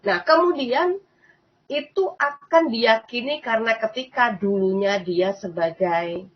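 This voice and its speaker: A woman's voice: fairly high pitch, a little slow. A woman host giving casual spoken commentary, chatty and repetitive, often turning to address her listeners. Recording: dull lo-fi sound.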